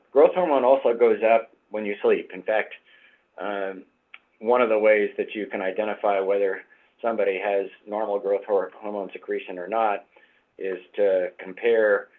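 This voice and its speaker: A man with a cold-roughened, husky voice, explaining things in a measured way as a guest doctor, with frequent fillers. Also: fairly low pitch. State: hoarse from a cold. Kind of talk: expert explanation in interview. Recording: tinny phone-like line.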